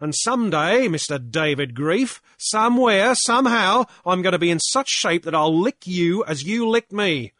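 A man putting on a shrill, loud young drunkard. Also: animated, moderate pace, hardly any pauses, no room sound, studio quality. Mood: defiant. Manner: shrill, whining and blustering. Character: young male drunkard, sulky then defiant. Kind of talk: audiobook character voice.

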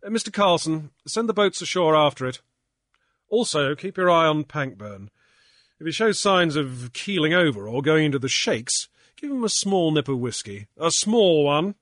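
A tough, commanding sea captain who barks his orders briskly, a bit raised and forceful, as a male narrator plays him. The voice is close and dry. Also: fairly high pitch, expressive, no echo, voice pushed up. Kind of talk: audiobook character voice.

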